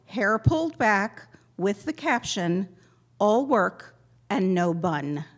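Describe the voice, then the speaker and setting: A woman giving a prepared memorial tribute to a gathering, speaking in measured, deliberate phrases with pointed pauses. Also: mid-range voice, very animated, a little hiss or hum. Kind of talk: prepared eulogy read aloud to a gathering.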